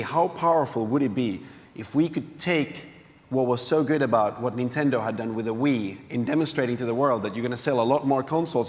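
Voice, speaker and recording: A man from Finland, a game entrepreneur, answering interview questions onstage in a quick, earnest way with many restarts. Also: mid-range voice. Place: conference hall stage. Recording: long hall reverb.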